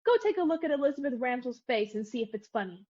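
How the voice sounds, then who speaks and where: A high-voiced, headstrong young woman, played by an actress in an old-style radio drama. She is firm and scornful, and the sound is slightly muffled. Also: expressive, fast, a little mellow. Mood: scornful anger. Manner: firm, commanding. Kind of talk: 1940s radio-play acting.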